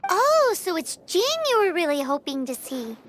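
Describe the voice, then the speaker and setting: A woman's voice: big pitch swings, moderate pace, background noise. A woman voicing a tiny, chatty, teasing girl companion who refers to herself by name, in a video game with light music behind. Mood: curious. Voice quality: high childlike squeaky voice.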